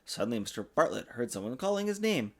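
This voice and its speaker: A man reading a children's storybook aloud in an expressive, sing-song way, as a father does for his young child. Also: medium pitch, fast. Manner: patiently answers child's interruptions. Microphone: desktop USB microphone.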